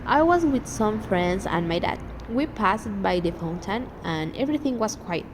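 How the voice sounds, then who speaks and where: A young woman from Mexico speaking English with a Mexican Spanish accent, chatting excitedly and teasing her co-host on a podcast. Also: medium pitch, moderate pace, noisy background. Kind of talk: casual storytelling chat.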